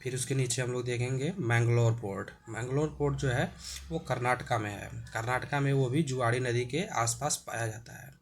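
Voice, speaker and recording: A man with a sore throat, explaining an exam-prep lesson with many hesitations and frequent throat clearing. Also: medium pitch, fairly even intonation, normal speed, a little hiss or hum.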